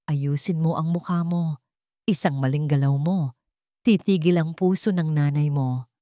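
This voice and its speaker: A woman's voice: deep voice, a little slow, warm tone, no echo, studio quality. Woman acting a haughty, scheming villainess bride. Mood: cold threat. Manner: menacing, imperious. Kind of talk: first-person audiobook melodrama.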